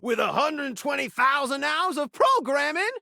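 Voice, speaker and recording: A man's voice: very high-pitched, expressive, normal speed, vocal fry, pushed and bright, dry recording, studio quality, full character voice. A man voicing a flirtatious, hyper talking television in a video game, a brash showman speaking in theatrical rhymes. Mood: smooth flirting. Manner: brash showman, rhyming catchphrases.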